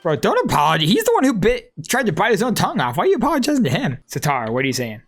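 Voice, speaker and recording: An excitable game streamer chats casually and slangily in a mid-pitched voice. The intonation is animated, and faint game music runs underneath. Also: rapid-fire. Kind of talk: casual live reaction commentary, reading aloud.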